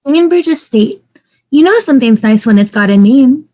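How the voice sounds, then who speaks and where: A woman's voice: sits high, expressive, moderate pace, a little mellow. A woman playing an eager, optimistic young wife in an amateur table read, with a gushing manner. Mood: delighted. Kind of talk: amateur table read over video call.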